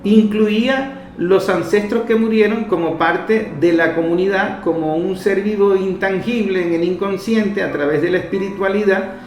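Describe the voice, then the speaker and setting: A man's voice: sits high, moderate pace, hardly any pauses. A Venezuelan man hosting a radio interview, speaking in long, discursive sentences. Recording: roomy echo, faint music behind.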